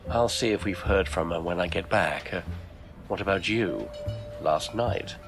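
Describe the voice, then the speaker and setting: An actor playing a businesslike, skeptical agency colleague who asks brisk, clipped questions in an audio drama. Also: male voice, low voice, music in the background. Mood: surprised, probing.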